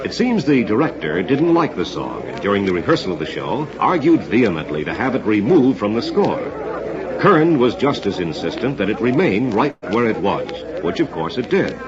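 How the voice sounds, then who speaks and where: A man's voice: on the low side, sing-song, moderate pace. An American man hosting a scripted old-time radio theater show with formal, theatrical introductions in the manner of an announcer. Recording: dull lo-fi vintage radio, crowd murmur.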